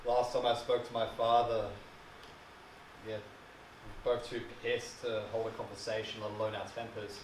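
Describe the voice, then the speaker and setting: A man playing a bitter, wounded son of an alcoholic father in a dramatic monologue, speaking in a brooding, emotional, halting way. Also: on the low side, flat delivery, close to an everyday voice. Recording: distant mic, echoey room, faint hiss.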